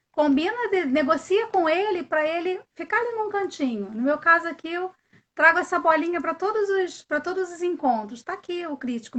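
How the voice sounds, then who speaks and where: A woman who teaches English, hosting a live video call and explaining in a casual, animated way, often asking questions and giving examples. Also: high voice.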